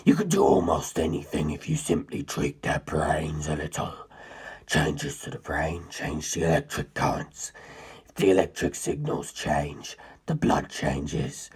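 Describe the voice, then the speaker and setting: A man voicing an ancient, menacing inhuman being in a dramatic story, gravelly and breathy, with grandiose, condescending speech. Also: level tone, measured pace, exaggerated voice. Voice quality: very deep, gravelly, husky, breathy. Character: ancient, menacing, superior male non-human predator musing on humans. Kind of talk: dramatic audiobook character reading.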